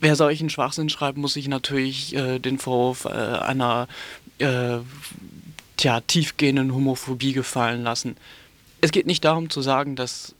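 A man giving critical radio commentary in a halting, sardonic and polemical manner, with frequent hesitations. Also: mid-range voice, fairly even intonation, fairly bright tone.

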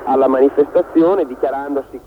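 A man's voice: mid-range voice, steady tone, fast. Tinny, faintly noisy line, man interviewed. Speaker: demonstrator being interviewed. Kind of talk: spontaneous interview answer.

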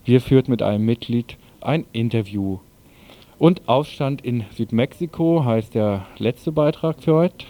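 A man's voice: medium pitch, unhurried, warm tone. A man presenting a radio news programme, reading out scripted announcements.

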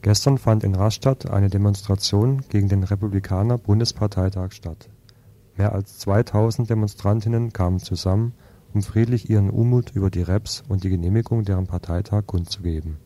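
Male news reader, lowish register, very mellow timbre, scripted report delivery. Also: steady tone, a little fast. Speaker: news presenter.

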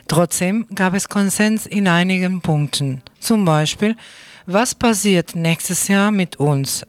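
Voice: medium pitch, no room sound. A radio reporter reading a scripted report.

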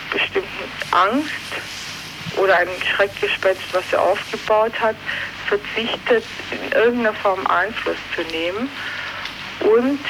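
A man being interviewed about politics on the radio, explaining at length in deliberate, halting phrases. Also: high-pitched. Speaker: interviewed political party member. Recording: clearly noisy 1980s radio recording.

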